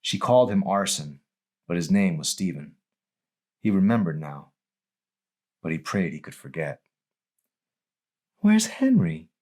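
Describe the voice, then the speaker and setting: A man's voice: low-pitched, normal speed, long pauses, a little mellow. A man reading his own novel aloud as a dramatic audiobook narration.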